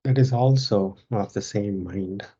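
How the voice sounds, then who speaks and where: A yoga teacher guiding a meditation in a calm, measured way, pausing between short phrases, on a dull, muffled recording. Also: sits low, slightly rough. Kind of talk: guided meditation instruction.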